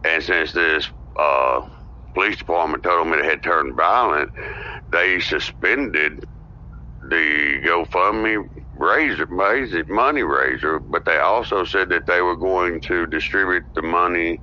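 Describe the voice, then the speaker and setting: Very deep, forceful man on lo-fi audio. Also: unhurried. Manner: agreeable, leans on "you know". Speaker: podcast guest. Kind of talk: casual political chat. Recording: muffled lo-fi with faint noise.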